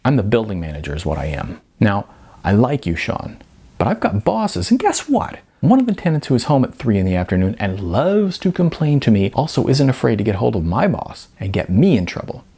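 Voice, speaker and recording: A stern, no-nonsense apartment manager in her late twenties, a woman from an audiobook, read by a man. She is weary and blunt, with firm, varied emphasis and a slightly rough tone. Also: on the low side, very animated, a little fast, warm tone, heavily acted.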